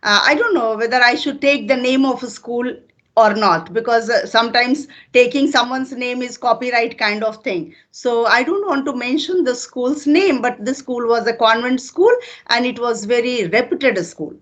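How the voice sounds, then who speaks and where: A woman's voice: fairly high pitch, moderate pace, a bit bright, muffled recording. An older woman with an Indian accent whose first language is Hindi, a retired teacher chatting in a patient, teacherly way with fillers. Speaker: retired teacher and channel host. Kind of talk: casual interview conversation.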